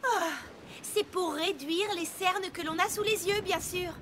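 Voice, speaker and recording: A woman's voice: very high voice, unhurried, a bit bright, a little hiss or hum. A woman voicing a prim, fussy young mare pony in a French cartoon dub, with an affected, theatrical manner. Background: cartoon music score.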